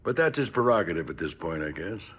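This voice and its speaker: An actor playing a gritty, middle-aged decorated military trainer in an audio drama, gruff and plain-spoken with emphatic, measured praise. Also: male voice, low voice, very animated, a bit bright, heavily acted. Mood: wry, amused. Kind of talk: audio drama interview acting.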